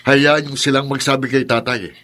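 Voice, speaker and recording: A man's voice: medium pitch, fairly even intonation, moderate pace, sharp tone. A man playing a firm, protective young adult son and brother in a radio drama, insistent and questioning, with a Filipino accent. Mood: indignant, confronting. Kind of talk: Tagalog radio drama acting.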